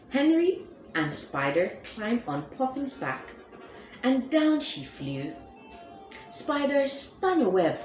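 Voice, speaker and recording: A woman reading a children's picture book aloud in an expressive, animated way. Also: medium pitch, reverberant, slight background noise, low-quality recording. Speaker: storybook reader.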